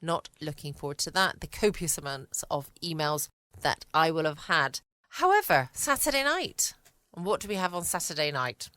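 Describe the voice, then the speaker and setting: A woman's voice: low voice, big pitch swings, normal speed, bright, forceful tone, no echo. A British woman hosting a late-night bedtime podcast, chatting casually and musing to herself. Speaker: podcast host.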